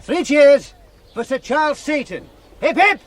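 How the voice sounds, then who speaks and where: Irritable schoolmaster, swinging pitch. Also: male voice, lively intonation, measured pace, crowd noise. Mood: brisk, commanding. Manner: curt, grumbling. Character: irritable, resentful village schoolmaster. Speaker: village schoolmaster. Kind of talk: period radio drama acting.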